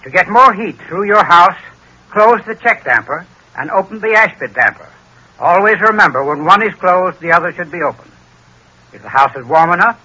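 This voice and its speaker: A man's voice: fairly high pitch, bright, forceful tone, breathy edge, rough recording. A man giving a formal, instructive scripted advertising talk in 1930s radio style as a heating expert.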